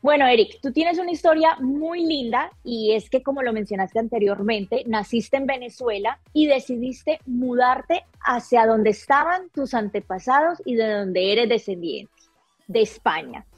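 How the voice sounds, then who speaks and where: A woman with a fairly high voice works as an interview host, speaking effusively and with enthusiasm. The sound is dry and close, with music behind her. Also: dry recording.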